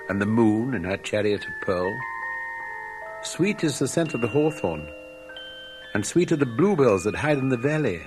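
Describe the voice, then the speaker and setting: A man's voice: fairly low pitch, lightly acted. A man voicing a tender, devoted female nightingale in a storybook, with an ardent, lyrical, theatrical delivery.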